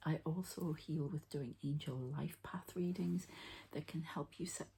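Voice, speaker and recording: Low, whispering woman in soft ASMR. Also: steady tone, a little slow. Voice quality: soft, breathy whisper with little voicing. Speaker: energy healer presenting her own channel. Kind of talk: whispered ASMR healing narration. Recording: faint background noise.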